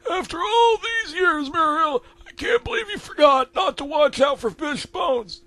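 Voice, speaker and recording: Shrieking, cranky old cartoon farmer. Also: male voice, very high voice, lively intonation, a little slow, pitched above the performer's own voice, full character voice. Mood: whiny outrage. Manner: shouting, whiny outrage. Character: cranky, abusive old farmer husband, cartoon, bellowing and blaming.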